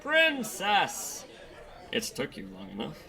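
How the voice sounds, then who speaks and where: Pompous bossy king dad for a fantasy audio drama, high pitched for a man, slow and deliberate. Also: huge pitch swings, vocal fry, a crowd in the background, heavily acted. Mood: impatient. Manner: pompous, commanding. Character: haughty, angry king and stern father.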